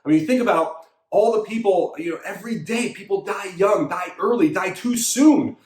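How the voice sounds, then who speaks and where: A man's voice: sits high, normal speed, a little mellow, echoey room. A man in his thirties, a fitness coach and show host, delivering an emphatic, repetitive motivational monologue to his listeners. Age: 30s.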